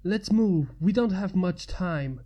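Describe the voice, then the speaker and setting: A woman's voice: lowish voice, expressive, mellow, dull audio. Woman voicing an urgent, commanding male paramedic. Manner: brisk, urgent.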